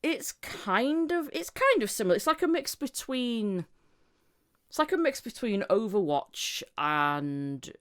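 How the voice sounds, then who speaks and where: Smooth-voiced woman chatting as podcast host. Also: lowish voice. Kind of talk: casual interview chat.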